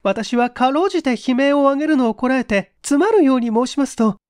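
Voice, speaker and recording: Casting: actress as distressed young working woman, very high voice, formal and hesitant, dry studio sound, mystery audiobook. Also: lively intonation, fairly quick, a little mellow, no echo. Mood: earnest recounting. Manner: formal, deferential, hesitant speech. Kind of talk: dramatized audiobook reading.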